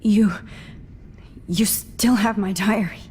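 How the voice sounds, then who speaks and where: A woman's voice: mid-range voice, steady tone, slow, darkish tone, a little hiss or hum, close to an everyday voice. An actress playing a defiant, anguished teenage girl student in a video game, speaking in an earnest, emotional way. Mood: pleading. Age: young adult.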